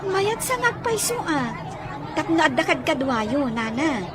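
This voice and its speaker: A woman's voice: high voice, very animated, a little slow, a loud crowd, heavy background noise. An actress playing an emotional, doting mother in a radio drama, exclaiming over her son. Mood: gentle.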